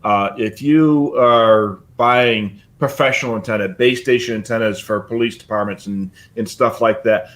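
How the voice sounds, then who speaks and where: A man with a middle-of-the-road pitch talks casually as a co-host on a hobby show. He explains technical details in a practical, plainspoken way.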